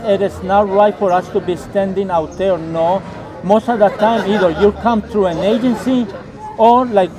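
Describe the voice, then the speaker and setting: A man from Colombia who was raised in the United States, a local guide and English teacher, answering questions slowly with many fillers and repeats. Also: high voice. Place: outdoors on stairs in a city neighborhood.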